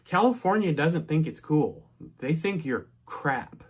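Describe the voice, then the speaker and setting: A man's voice: on the high side, big pitch swings, unhurried, breathy edge. A middle-aged man from North Texas, casually telling a personal story in a chatty way, with frequent restarts and asides.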